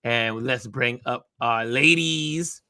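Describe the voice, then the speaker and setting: A man with a raspy voice hosting a casual live stream, reading viewer comments aloud and greeting people with upbeat shout-outs. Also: mid-range voice, takes it slowly, no echo. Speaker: host.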